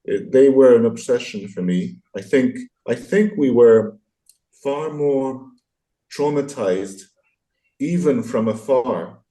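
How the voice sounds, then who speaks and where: A man's voice: mid-range voice, slow pace, warm tone, low-quality recording. A man in his sixties speaking as an interview guest, reflective and articulate, thoughtful and hesitant, with a self-deprecating way of talking. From France, partly raised abroad. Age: 60s. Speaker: interview guest, graphic novelist and illustrator. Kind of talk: reflective conversational interview answers.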